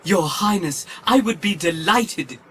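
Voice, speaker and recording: Fussy robot butler type for audio drama: prim, self-important, fretful, swooping intonation, measured pace. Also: male voice, sits high, lively intonation, a bit bright, a little hiss or hum. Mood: eager, deferential. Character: fussy, anxious, self-important golden protocol droid, male.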